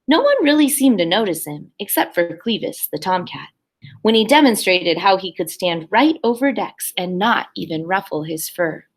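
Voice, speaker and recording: Woman, kindergarten teacher, medium pitch, expressive storybook read-aloud, simple explanations for young children. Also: moderate pace.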